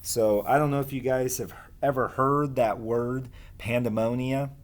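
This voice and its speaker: A man's voice: mid-range voice, fairly even intonation, measured pace. Guy teacher doing a read-aloud for kids, takes his time, mid-range voice, keeps it informal. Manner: informal, explains and asks listeners questions. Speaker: teacher reading aloud to pupils. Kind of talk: casual read-aloud lesson for children.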